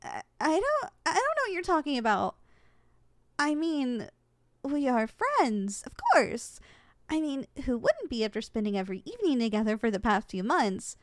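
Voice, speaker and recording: Casting: female actor for sweet, flustered succubus student, high lively voice, ASMR roleplay. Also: moderate pace, dry recording, clean studio sound. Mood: flustered, defensive. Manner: expressive, flustered, coaxing. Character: eager young succubus trainee, sweet and easily flustered.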